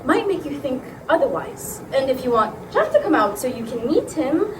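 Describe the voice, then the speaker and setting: A woman's voice: high voice, very animated, moderate pace. A woman playing a humble, polite farm schoolgirl in a theatrical comedy, deferential and chatty but innocently evasive. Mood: polite, matter-of-fact. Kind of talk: theatrical comic drama. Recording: roomy, distant, clearly noisy recording.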